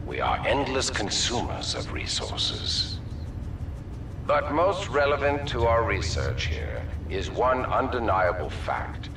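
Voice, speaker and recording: An actor playing a cold, ruthless military leader in a video game, delivering a measured, pompous speech with emphatic pauses. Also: male voice, deep voice, creaky, exaggerated voice. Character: cold, ruthless male military-corporate leader, grandiose villain. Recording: echoey hall, distant mic, music behind.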